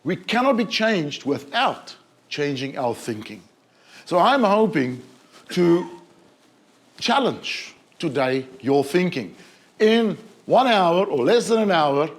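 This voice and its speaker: A man's voice: fairly high pitch, lively intonation. South African-born man giving a keynote. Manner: measured, questioning, slows for emphasis. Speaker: conference speaker. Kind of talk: keynote presentation. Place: conference hall.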